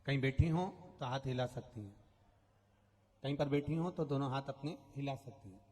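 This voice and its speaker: A man's voice: medium pitch, moderate pace, a little mellow, big-room sound. A man preaching a devotional katha sermon, telling stories, repeating phrases and singing lines. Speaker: Shiva Purana storyteller preacher. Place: large outdoor religious gathering.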